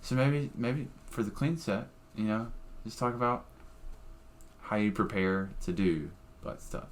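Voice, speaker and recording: Brief: comedian guest, blunt and opinionated, swears freely, lowish voice. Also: male voice, expressive, a little slow, slight background noise. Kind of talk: casual podcast chat.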